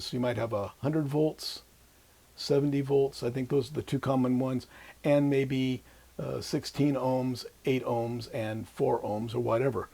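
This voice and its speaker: A man's voice: medium pitch, dark tone. An older man, in his sixties or beyond, explaining like an instructor at a whiteboard, informally thinking aloud as he goes. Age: 60s or older. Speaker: electronics instructor. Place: small indoor room at a whiteboard. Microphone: lapel mic.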